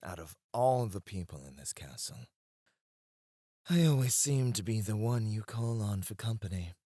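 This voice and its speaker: A man voices a scheming royal advisor in an ASMR roleplay, with a very low voice that still rises and falls with a lot of life. He talks softly and teasingly, close to the microphone, and sinks to a near-whisper on his titles. The sound is dry, with no room around it. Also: expressive, normal speed, no room sound. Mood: teasing, confiding. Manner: soft-spoken, dropping to a hush on titles; teasing and confiding. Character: scheming male royal advisor, smooth and devoted. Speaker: voice actor.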